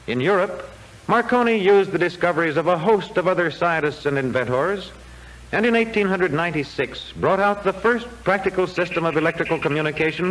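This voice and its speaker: High-pitched 1940s educational film narrator, male. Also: fairly bright tone, a little hiss or hum, poor audio. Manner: formal, measured, declamatory delivery. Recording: old 1940s film soundtrack.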